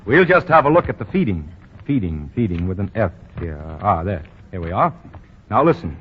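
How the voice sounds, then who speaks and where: A fussy know-it-all young boss. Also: male voice, on the low side, sing-song, moderate pace, a little hiss or hum. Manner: pompous, stammering, chuckling. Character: fussy self-assured young male store boss. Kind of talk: 1940s radio acting. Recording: dull 1940 radio broadcast.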